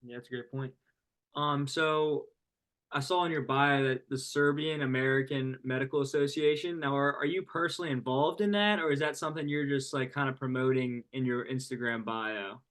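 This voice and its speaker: A man with an ordinary middle-pitched voice hosts a casual podcast chat. He responds briefly, then follows up with easygoing questions. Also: fairly even intonation, normal speed, a bit bright. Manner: conversational, leading with questions. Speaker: podcast host.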